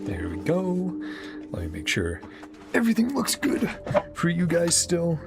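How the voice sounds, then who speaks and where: A man's voice: on the high side, huge pitch swings, measured pace. A man giving casual reaction commentary on a game story, in trailing, fragmented remarks. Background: game music.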